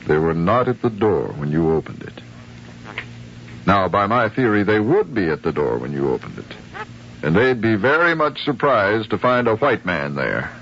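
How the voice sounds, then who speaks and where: An actor playing a shrewd, insistent sheriff who questions deliberately and politely, in a 1950s radio drama. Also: male voice, fairly low pitch, very animated, fairly bright tone. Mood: probing. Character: probing, shrewd male sheriff hunting runaway slaves. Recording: muffled, noisy 1950s radio recording.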